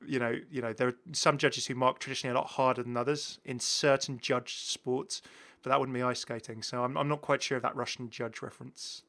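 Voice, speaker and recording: A man's voice: medium pitch, flat delivery, a little fast, a bit bright. A man hosting a gaming channel, giving casual, chatty and enthusiastic commentary as he shows off game content. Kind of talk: casual gameplay showcase commentary.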